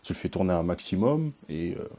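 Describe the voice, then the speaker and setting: A man's voice: low voice, very animated, fairly quick, warm tone. A man from French Guiana, a music producer and painter, answering interview questions hesitantly with many fillers.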